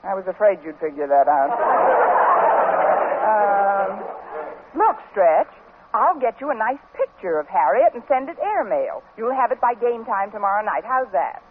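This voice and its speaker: An actress playing a wisecracking schoolteacher with a dry, sardonic delivery in a 1950s radio sitcom. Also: female voice, mid-range voice, animated, unhurried, close to an everyday voice. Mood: brisk, patient. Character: wry, wisecracking single woman schoolteacher. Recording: tinny, noisy vintage radio recording.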